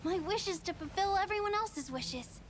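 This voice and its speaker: A woman's voice: very high voice, expressive, normal speed, noisy background. A woman voicing a small, childlike, earnest companion in a video game. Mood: earnest, wishful. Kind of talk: scripted video game cutscene acting.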